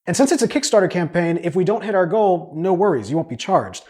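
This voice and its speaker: A middle-aged man telling a personal story in a conversational, earnest way as he pitches his crowdfunding project. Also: very animated, a little fast, a little mellow. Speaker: podcast producer and storyteller pitching his audio drama.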